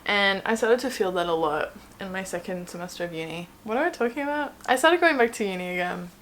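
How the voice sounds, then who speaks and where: A woman's voice: mid-range voice, lively intonation, fast talker, fairly bright tone, a little hiss or hum. A woman in her twenties from Australia, chatting casually to the camera at home while doing her makeup, rambling with lots of "like"s and joking asides. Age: 20s. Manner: chatty and rambling, many "like"s and self-mocking asides. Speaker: vlogger. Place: home room indoors.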